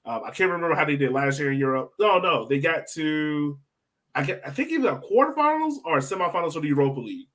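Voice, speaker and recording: A man hosts a relaxed sports discussion in a conversational way. His voice sits at an ordinary pitch as he thinks aloud and steers the talk. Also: quick pace. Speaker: podcast host steering discussion.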